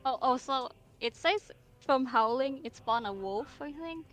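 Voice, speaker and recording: High-pitched, tentative woman gamer. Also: expressive, unhurried, a bit bright. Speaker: player in a tabletop role-playing game. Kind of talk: casual chat. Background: background music.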